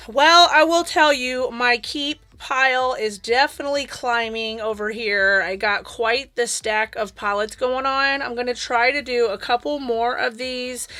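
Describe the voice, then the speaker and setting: A woman beauty vlogger talks casually to her viewers, her voice still raspy after she lost it to a virus. She sits a little high in pitch with a bright, forward tone. She chats along easily, weighing up each item aloud. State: raspy, recovering from losing her voice. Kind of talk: casual chat to camera.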